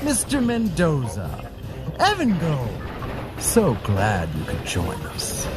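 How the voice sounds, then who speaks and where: Slow, swooping, gloating villain. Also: male voice, on the high side, wildly swooping pitch, loud music behind the voice, full character voice. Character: sinister, gloating villain greeting captured heroes. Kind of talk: modern audio drama acting.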